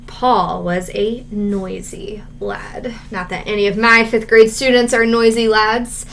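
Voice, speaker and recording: A woman reading a children's storybook aloud as a teacher, expressive and measured, stressing key words and adding asides. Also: medium pitch, gravelly.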